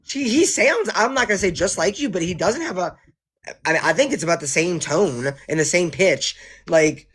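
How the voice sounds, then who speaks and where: A woman's voice: on the low side, animated, normal speed, fairly bright tone, slightly rough. A woman chatting on a casual livestream, rambling and restarting her sentences. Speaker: livestream co-host.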